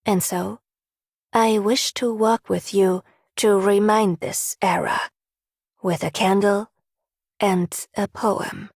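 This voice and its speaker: An actress playing a refined, wistful poet in a video game, with elegant, literary phrasing. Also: female voice, medium pitch, fairly even intonation, slow pace, breathy edge, no room sound, clean studio sound. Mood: wistful, resolute. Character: refined, wistful female poet, gently resolute. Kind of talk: scripted video game character voice line.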